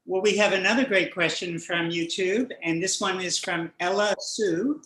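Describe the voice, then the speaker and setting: An interview host who reads out viewer questions in a measured manner and keeps the guest going with soft listening sounds. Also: mid-range voice, roomy sound, low-quality recording. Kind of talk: hosting a live-streamed interview.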